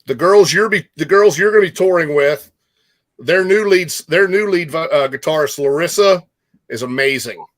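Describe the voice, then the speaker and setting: A man co-hosting a casual chat show, speaking informally in a halting but emphatic way. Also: a little high, fairly bright tone. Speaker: show co-host.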